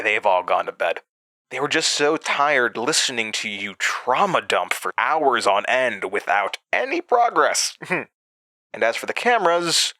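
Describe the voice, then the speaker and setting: A man's voice: mid-range voice, sharp tone, no room sound, clean studio sound, exaggerated voice. An actor playing a malevolent entity with a man's borrowed voice, mocking and theatrical in a horror audio drama. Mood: smug, amused. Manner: mocking, sardonic, theatrical, toying menace.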